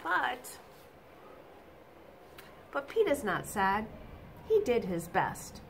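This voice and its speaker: A middle-aged woman reads a children's story in a fairly high voice. Her delivery is very animated and unhurried, stressing exclamations for young listeners. There is a faint noise in the room. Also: gravelly. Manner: expressive, deliberate, emphatic exclamations. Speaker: reader of a children's picture book. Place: small indoor office or classroom.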